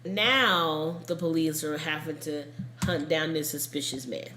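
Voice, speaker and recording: Low-voiced woman podcaster in an echoey room. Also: a little slow, sharp tone, slight background noise. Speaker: true crime podcast host. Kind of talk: casual conversational storytelling.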